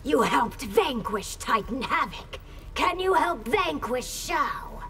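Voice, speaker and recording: An actress playing a haughty warrior empress in a video game, regal, deliberate and commanding. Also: female voice, sits high, very animated, fairly bright tone, heavily acted. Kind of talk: dramatic video game dialogue.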